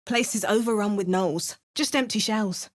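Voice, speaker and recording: A woman's voice: mid-range voice, normal speed, no echo, close to an everyday voice. A young woman voicing a bold female adventurer hero in a video game, dry and clipped, snapping out spell words. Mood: wry. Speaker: player character.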